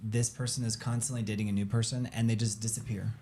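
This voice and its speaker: Rambling chatty man, mellow tone. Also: lowish voice, level tone, fast talker. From Texas. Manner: rambling, self-interrupting, chatty. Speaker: co-host. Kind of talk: casual panel chat. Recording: faint room noise, fan running.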